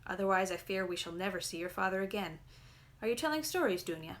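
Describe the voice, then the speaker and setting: Storybook mother, read by a woman: tired, wry, softly insistent, understated. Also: medium pitch, animated, fast talker, close to an everyday voice. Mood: weary. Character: weary, thin mother, light-toned but dark underneath.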